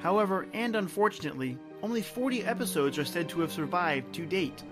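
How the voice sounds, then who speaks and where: A man's voice: sits high, lively intonation, brisk. A man hosting a podcast, reading a scripted introduction. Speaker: podcast host. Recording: clear music behind the voice.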